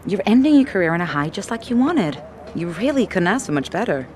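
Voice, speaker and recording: A woman's voice: medium pitch, big pitch swings, fairly quick, a little mellow, slight background noise, close to an everyday voice. A woman playing a playful, teasing companion. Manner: teasing, prodding. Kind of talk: video game cutscene acting.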